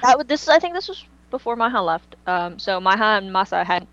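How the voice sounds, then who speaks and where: A woman podcast host talks in a casual, slangy fan-chat manner. Her pitch sits in an average range for a woman. The audio is dull and lo-fi. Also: sing-song, brisk, a bit bright, no echo.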